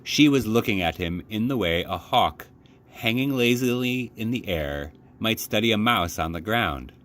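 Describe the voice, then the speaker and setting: Low-voiced, very expressive male storyteller. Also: moderate pace. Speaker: library children's storyteller. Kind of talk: expressive read-aloud narration. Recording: faint background noise.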